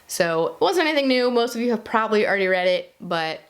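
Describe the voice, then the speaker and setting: Female video reviewer, mid-pitched, informal and conversational, chatting straight to her audience in a casual wrap-up. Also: big pitch swings, fast talker. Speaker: book reviewer and video host. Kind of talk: casual monthly reading wrap-up to viewers.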